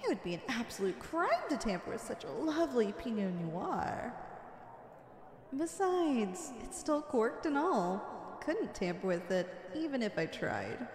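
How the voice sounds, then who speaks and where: A woman's voice: a little high, darkish tone. Echoey, theatrical female supervillain, acted by a woman. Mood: playful, coaxing. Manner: playful, teasing, theatrical. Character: flirtatious, teasing female supervillain with righteous anger. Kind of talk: ASMR roleplay, scripted acting. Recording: echoey hall-like reverb.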